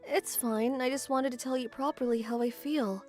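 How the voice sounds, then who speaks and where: Woman acting high, sweet schoolgirl Misaki. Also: steady tone, moderate pace, darkish tone, faint music behind, natural voice. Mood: earnest resolve. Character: Misaki, sweet earnest lovestruck teenage schoolgirl. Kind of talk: manga dub romcom acting.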